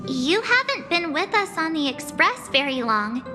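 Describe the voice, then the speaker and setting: Perky female game companion, very high voice, caring, long reverb, music behind. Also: sing-song, unhurried, heavily acted. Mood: curious, musing. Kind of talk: video game voice acting.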